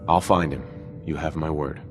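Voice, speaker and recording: Deep-voiced earnest American father. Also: fairly even intonation, moderate pace. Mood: earnest, reassuring. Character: weary earnest father searching for his kidnapped son. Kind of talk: video game dialogue acting. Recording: voice over game music.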